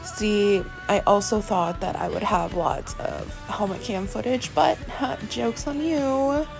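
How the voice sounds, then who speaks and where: A woman's voice: medium pitch, slow pace, gravelly, over music. A young woman in casual vlog talk, chatty and self-deprecating, narrating her riding over voiceover. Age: young adult. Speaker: equestrian vlogger. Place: indoor riding arena and barn, some outdoors.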